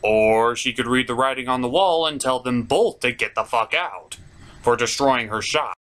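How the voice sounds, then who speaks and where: A man's voice: medium pitch, moderate pace, fairly bright tone. A man hosting a cartoon review, giving casual, sarcastic and sometimes crude commentary. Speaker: cartoon review show host.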